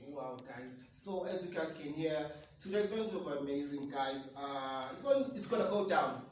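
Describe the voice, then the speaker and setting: A South African man with a Zulu-speaking accent, hosting an online talk show in an energetic, hypey way and slipping into Zulu. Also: fairly high pitch, moderate pace. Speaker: host. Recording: echoey room, mic at a distance, light noise.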